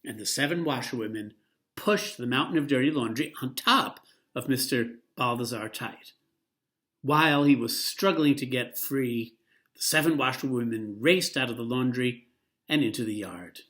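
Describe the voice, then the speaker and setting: A man's voice: mid-range voice, moderate pace. Man reading a picture book aloud. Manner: lively, expressive read-aloud delivery. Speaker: storyteller.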